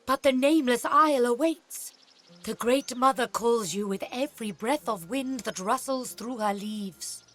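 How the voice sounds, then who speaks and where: A woman playing a blind elf seer in a fantasy game, speaking gravely and haltingly in archaic phrasing. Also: fairly high pitch, fairly bright tone. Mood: solemn urging. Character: solemn blind female elf seer, accusing and reverent. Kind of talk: fantasy video game acting.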